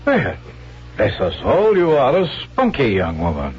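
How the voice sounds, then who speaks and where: An actor in a radio drama playing a sly, callous swindler who talks in a glib, chatty, falsely genial way. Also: male voice, medium pitch, wildly swooping pitch, unhurried, slight background noise. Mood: amused, glib. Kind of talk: 1970s radio drama acting. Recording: dull vintage radio recording, music behind.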